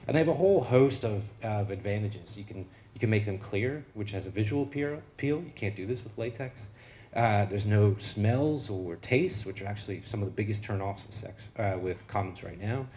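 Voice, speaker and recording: A low-pitched American man addresses an audience from a lecture stage. He talks through his research in a loose, conversational way, with plenty of ums and restarts. A faint hum of ambient noise sits under the voice. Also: moderate pace, darkish tone. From United States. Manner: conversational, with frequent fillers and restarts. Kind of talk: public talk with slides.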